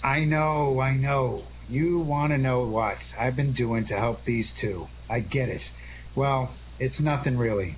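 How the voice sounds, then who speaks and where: A man's voice: medium pitch, a little hiss or hum, lightly acted, low-quality recording. A man playing a glib, wisecracking amateur sleuth in a 1940s-style radio detective drama, placating the others. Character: wisecracking, fast-thinking male amateur sleuth.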